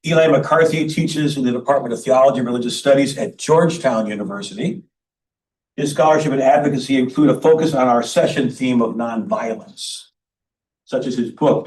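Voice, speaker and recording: A man's voice: medium pitch, a little mellow. A man speaks as the chair of a conference session in an informal introductory style. His mid-range voice comes from some distance in an echoey room, with faint background noise. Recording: roomy echo, distant mic, faint noise.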